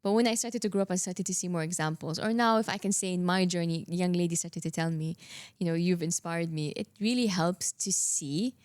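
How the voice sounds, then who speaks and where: A woman from Saudi Arabia hosts a relaxed interview in a somewhat low voice. She is affirming and encouraging and often repeats her guest's words back. The sound is dry and close, with no room echo. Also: fairly quick, few pauses, smooth. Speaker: podcast host. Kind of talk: conversational interview.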